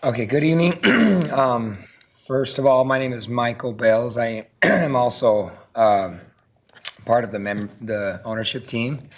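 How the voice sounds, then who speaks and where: A hoarse man who is losing his voice, a property co-owner giving halting public testimony at a council podium and clearing his throat often. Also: mid-range voice, slight background noise.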